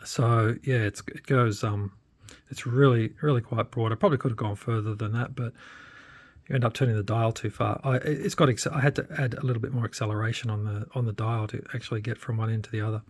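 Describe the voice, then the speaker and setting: A man's voice: on the low side, steady tone, fast, no echo. A man giving a casual, unscripted hands-on tech update as a hobbyist, thinking aloud and hesitating as he goes. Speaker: hobbyist presenter giving a radio modification demo.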